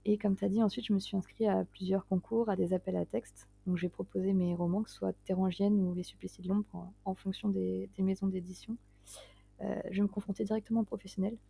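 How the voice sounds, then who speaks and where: Gravelly, husky woman in her twenties. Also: medium pitch, level tone, fast, warm tone. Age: 20s. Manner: hesitant, self-deprecating, laughs often. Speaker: guest writer. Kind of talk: casual interview conversation.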